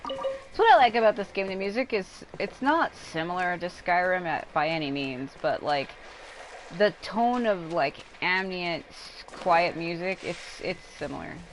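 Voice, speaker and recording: A woman doing casual, rambling livestream commentary while playing a video game, informal and quick to exclaim at what happens. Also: on the low side, lively intonation, slight background noise, low-quality recording. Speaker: game streamer. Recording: game music behind the voice. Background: video game music.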